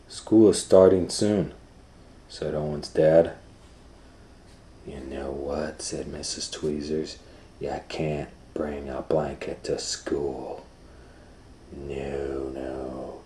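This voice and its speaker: Low, slow man reading a children's book. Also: roomy sound. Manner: animated, swings from hushed whispers to loud excited voices. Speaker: storytime reader. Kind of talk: reading a picture book aloud.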